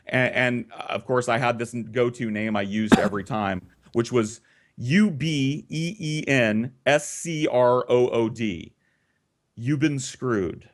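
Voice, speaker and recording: A man telling a story casually and comedically, swearing freely and acting out both sides of conversations. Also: mid-range voice. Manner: profane, animated re-enacting of conversations. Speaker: storyteller and host.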